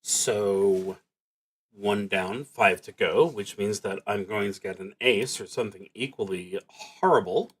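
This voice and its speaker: A man livestreaming a solo roleplaying game, reading prompts and thinking aloud in a halting, dryly wry way. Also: fairly low pitch, husky, rough recording.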